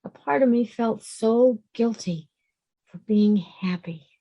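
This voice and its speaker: A woman acting a grieving, emotional woman in her late fifties in a play reading, speaking in a halting, heartfelt way. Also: fairly high pitch, dark tone. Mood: tearful, confiding. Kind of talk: play reading, naturalistic dialogue.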